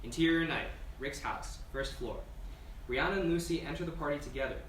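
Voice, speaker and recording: A man's voice: fairly high pitch, a little fast, a bit bright. A man reading scene directions as scripted narration at a screenplay table read. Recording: echoey room, distant mic, noisy.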